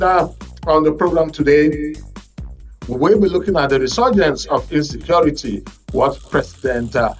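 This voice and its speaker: A man with a Nigerian accent presents a current affairs programme as its host, in a deliberate, formal broadcast delivery. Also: mid-range voice. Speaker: radio and TV talk show host.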